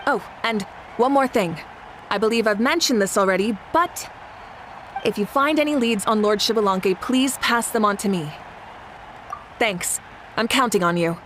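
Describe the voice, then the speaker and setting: A woman voice actor playing a confident, warm and commanding leader in a video game, speaking with measured assurance. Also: medium pitch, a little hiss or hum, lightly acted. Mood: businesslike.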